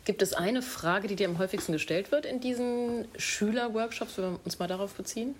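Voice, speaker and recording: A woman moderating a panel, asking questions and summing up the guests' points in a measured, affirming way. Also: mid-range voice, slight background noise.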